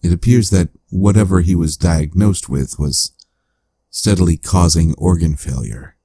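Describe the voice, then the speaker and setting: A man playing a calm, analytical AI companion in a cyberpunk audio drama, speaking in a measured, formal, clinical way. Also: low-pitched, slightly rough, no room sound, studio quality, exaggerated voice. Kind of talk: scripted audio drama narration.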